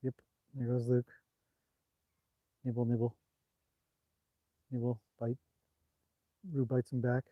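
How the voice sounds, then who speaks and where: A man's voice: mid-range voice, monotone, takes it slowly, pauses often, dry recording, dull audio. A man hosting a casual outdoor livestream, chatting loosely about his horses and answering viewer comments, recorded on a cell phone. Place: outdoors by a horse paddock on a bright day.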